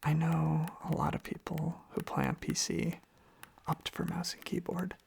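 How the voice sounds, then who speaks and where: Whispery asmr person with lots of vocal fry, sleepy casual rambling, repeats stuff softly. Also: mid-range voice, level tone, a little slow. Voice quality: whispered, breathy. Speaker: ASMR video creator. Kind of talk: ASMR, softly rambling casual chat while tapping on objects. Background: tapping and clicking on objects.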